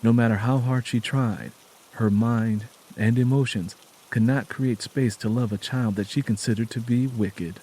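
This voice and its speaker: A man narrating a scripted story dramatically, in a solemn and deliberate manner. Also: mid-range voice, steady tone, warm tone, husky, low-quality recording.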